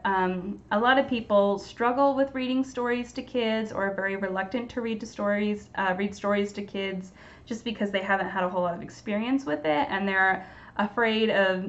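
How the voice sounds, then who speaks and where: A young woman talks through her storytime advice in a casual, earnest way, encouraging her listeners. Her voice sits at a middle pitch. The recording sounds dull and lo-fi. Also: a bit bright. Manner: earnest, encouraging, plain-spoken. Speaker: storytime presenter. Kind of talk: casual instructional talk to camera.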